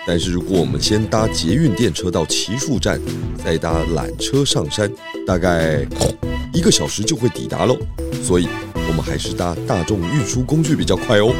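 An actor playing a calm, clever pig detective who explains patiently in a children's audio drama. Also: male voice, low voice, normal speed, over loud music. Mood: thoughtful, explaining.

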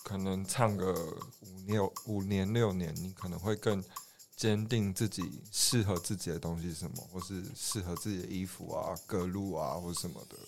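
A man's voice: sits low, steady tone, moderate pace, a little mellow, soft background music. A man with a Taiwanese accent hosting a podcast in a casual, conversational style. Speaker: podcast host. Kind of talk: casual chat.

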